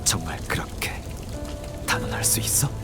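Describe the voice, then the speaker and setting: An actor playing a bitter, guilty betrayer in a game drama, speaking in a deliberate, taunting way. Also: male voice, loud music behind the voice, natural voice. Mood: bitter, menacing. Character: bitter, guilt-laden male betrayer making a confession.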